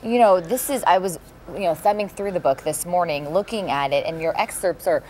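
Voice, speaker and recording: A woman's voice: on the low side, a little fast, darkish tone, slight background noise, rough recording. An American woman working as a TV anchor, conducting a conversational broadcast interview in a studio.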